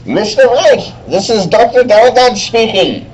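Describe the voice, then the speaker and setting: A man's voice: high voice, very animated, measured pace, soft background music, poor audio. A man playing a worried scientist on the telephone, faltering and repeating himself urgently, in old-time radio serial style. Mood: anxious, urgent.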